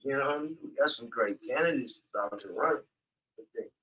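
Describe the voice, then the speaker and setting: A man's voice: medium pitch, animated, a little fast, sharp tone, breathy edge, recorded from a distance, low-quality recording. A man co-hosting a casual community talk show, laid-back and affirming, often asking "you know what I mean.". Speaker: co-host.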